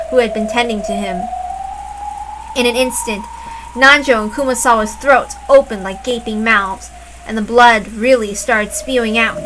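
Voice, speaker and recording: A woman's voice: on the high side, heavy background noise. A woman reading a story aloud as dramatic scripted narration. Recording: loud music behind the voice.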